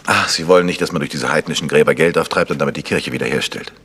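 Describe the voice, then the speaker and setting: An actor playing a polite, inquisitive amateur detective in a German radio mystery. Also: male voice, a bass voice, fast, fairly bright tone, breathy edge, exaggerated voice. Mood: probing. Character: probing, courteous amateur detective. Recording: faintly noisy old radio recording.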